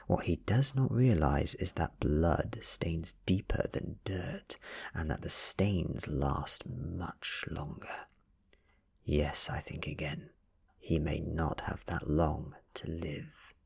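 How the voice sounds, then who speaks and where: A British man reading a story aloud in a soft-spoken ASMR style, breathy and often close to a whisper, with slow, deliberate delivery. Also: rumbling low. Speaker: ASMR reader.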